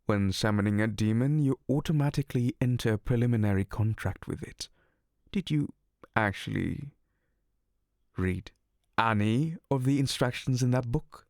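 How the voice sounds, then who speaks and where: A man's voice: medium pitch, moderate pace, a little mellow, no echo, clean studio sound, natural voice. A man voicing an exasperated, sardonic male incubus demon in an ASMR audio roleplay, theatrical and sarcastic.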